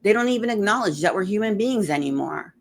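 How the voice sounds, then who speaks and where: A woman's voice: medium pitch, lively intonation, fast talker, creaky, pitched above the performer's own voice. Reader as troubled woman leader, exasperated, insistent, fantasy storybook, faint noise.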